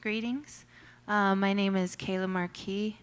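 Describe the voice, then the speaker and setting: Artist woman introducing herself on a panel, playful wording, very even flat delivery. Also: medium pitch, measured pace, clear, resonant tone. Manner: playful, grand self-introduction. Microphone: handheld mic.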